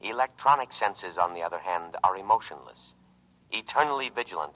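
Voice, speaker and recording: An actor playing a calm, pompous, paranoid war machine, a talking lifeboat, with solemn, measured oratory in an old radio drama. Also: male voice, low-pitched. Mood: coolly suspicious. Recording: muffled vintage radio broadcast recording.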